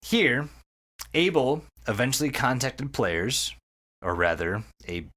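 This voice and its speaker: Male podcast host, animated pitch swings, casual sweary storyteller, enthusiastic about the material. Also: slow. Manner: sweary, enthusiastic, with repeated words. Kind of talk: casual storytelling recap of an internet horror story.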